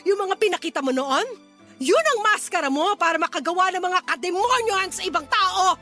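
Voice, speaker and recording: High, bright-voiced fiery Filipina mother. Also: expressive, a little fast, faint music behind, heavily acted. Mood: furious. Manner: emphatic, scolding, dramatic. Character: fed-up, fiery mother confronting her lying ex. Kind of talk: Filipino radio drama acting.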